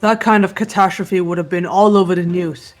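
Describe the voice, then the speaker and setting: A woman voicing a cool, blunt, analytical teenage girl detective, deadpan and terse, in amateur game voice acting. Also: fairly low pitch, brisk, faint music behind, close to an everyday voice. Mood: cool, businesslike.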